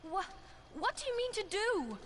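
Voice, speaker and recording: A woman's voice: extremely high pitch, very animated, normal speed, slight background noise. A woman playing an earnest, anxious young female companion in a video game cutscene. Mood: alarmed. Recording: game music behind the voice.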